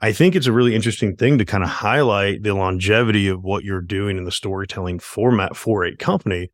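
An enthusiastic man hosts his podcast, rambling through his points and often checking in with "right?". His voice sits low and sounds dry and close, with no room around it. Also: fast, no room sound. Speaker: podcast host. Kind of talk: casual podcast interview.

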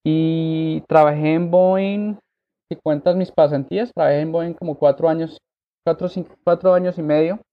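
A man from Colombia, raised abroad, telling his life story as a podcast guest in a relaxed way with many fillers. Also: a little high, moderate pace, phone-quality sound. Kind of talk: conversational interview.

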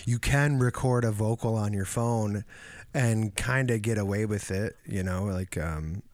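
A man hosting a casual interview, chatting in a relaxed, meandering way. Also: lowish voice, a little slow, no room sound. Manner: relaxed, chatty, drifting with fillers. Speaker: podcast host.

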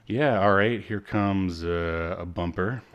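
A man hosting a casual pop-culture review chat, speaking in a halting, self-deprecating way. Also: sits low, lo-fi sound, faint music behind. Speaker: podcast host.

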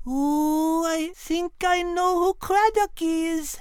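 A woman's voice: very high voice, slow, darkish tone, no echo, clean studio sound. A woman voicing a polite, curious French character who hosts a story podcast. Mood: bright curiosity. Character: polite, curious, refined French female host. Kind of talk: playful story podcast hosting.

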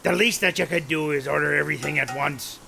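An actor playing a grumpy, scolding pirate cook with pirate-speak in a comedy audio drama. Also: male voice, on the high side, fast talker, gravelly, background noise. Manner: blustering, scolding.

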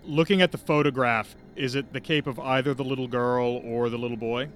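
A man's voice: mid-range voice, fairly even intonation, a little fast. A man chatting casually as a tabletop game player, inquisitive and reasoning aloud.

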